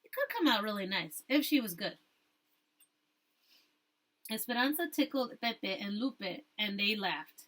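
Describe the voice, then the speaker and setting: A woman teacher reading a storybook aloud, rereading stumbled phrases and pausing to explain things to her listeners. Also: medium pitch, animated, fairly bright tone.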